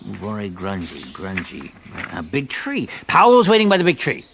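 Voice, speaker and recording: A bumbling comic detective from a radio play. His pitch jumps around a great deal as he stumbles over foreign words and repeats them back. He is persistent and endlessly muddled. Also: huge pitch swings, a little slow, vocal fry, fairly bright tone, slight background noise, heavily acted. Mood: puzzled, fumbling. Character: bumbling, persistent American detective struggling with Portuguese. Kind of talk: comic radio drama acting.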